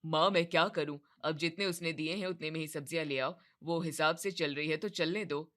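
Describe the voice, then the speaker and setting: A woman's voice: deep voice, fairly even intonation, fairly quick, fairly bright tone, pitched below the performer's own voice. A woman voicing a tired, meek young husband and son who answers in a subdued, resigned way.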